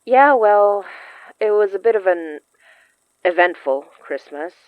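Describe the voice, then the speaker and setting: Casting: shy, shaken young woman for a podcast drama; slow, halting confessional delivery, close and dry recording. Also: medium pitch, expressive, dry recording, phone-quality sound. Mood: hesitant, confiding. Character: shaken young woman, earnest, shyly confessing to her best friend. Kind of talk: naturalistic fiction podcast acting.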